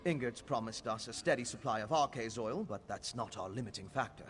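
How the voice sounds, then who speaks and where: A man's voice: mid-range voice, animated, brisk, slight background noise, lightly acted. An actor playing an earnest, scholarly male alchemist mage in a video game, explaining things in a learned, formal way. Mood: cautious, earnest.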